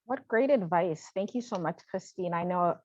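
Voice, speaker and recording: Woman moderating an online panel, lower voice, dull dry sound. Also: expressive, normal speed, darkish tone, no room sound. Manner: upbeat, thankful, brief.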